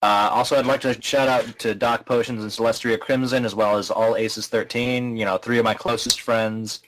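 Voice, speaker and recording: A man's voice: medium pitch, flat delivery, normal speed, fairly bright tone, rough recording. A man chatting casually as a podcast guest, rambling and laughing often. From American. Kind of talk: casual chat.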